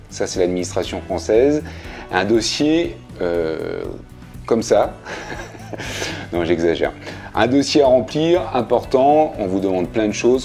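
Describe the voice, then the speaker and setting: A man's voice: lowish voice, mellow. A man in his fifties, seated in his living room, explaining things to camera in a chatty, plainspoken way with frequent fillers. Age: 50s. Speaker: video creator. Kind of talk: casual explainer video.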